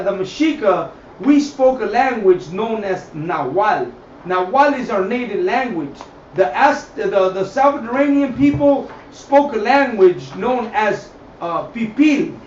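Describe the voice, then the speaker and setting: A man with a high voice teaches scripture and history off the cuff. He is emphatic, repeats his phrases and keeps checking in with listeners. The mic sounds far away in an echoing space. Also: animated, measured pace. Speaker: teacher of a religious group. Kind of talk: improvised scripture and history lesson. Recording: echoey, distant mic, dull, faint noise.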